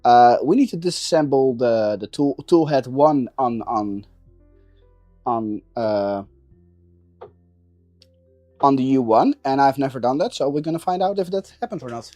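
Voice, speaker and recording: A man chatting casually while working on a build, thinking aloud in a halting way and repeating words. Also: mid-range voice, dark tone, no room sound, soft background music. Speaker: maker livestreaming a 3D printing build.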